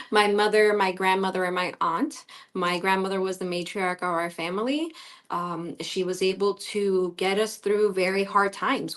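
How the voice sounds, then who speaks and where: Woman from Colombia, native Spanish speaker, medium pitch, earnest, reflective, halting phrases, conversational guest. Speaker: interview guest. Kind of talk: conversational personal storytelling.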